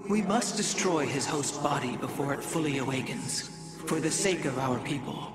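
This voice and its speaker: An actor playing a solemn, resolute alien military leader in a science fiction game, briefing gravely and formally. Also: male voice, mid-range voice, lively intonation, normal speed, close to an everyday voice. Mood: grave resolve. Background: loud music.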